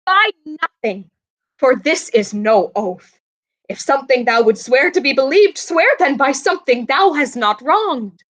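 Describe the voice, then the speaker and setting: A woman's voice: high voice, lively intonation, measured pace, fairly bright tone. A young woman playing a bereaved, scornful queen in a Shakespearean radio play, speaking formal verse with biting sarcasm. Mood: accusing. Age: young adult. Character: bereaved mother queen, bitter, scornful and grieving. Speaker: student actor.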